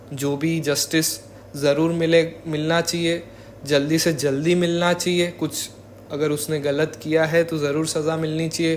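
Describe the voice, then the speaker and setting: A man's voice: a little high, a little hiss or hum. A young man giving informal spoken commentary as a vlogger, mixing in English words. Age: young adult. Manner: code-switches with English words.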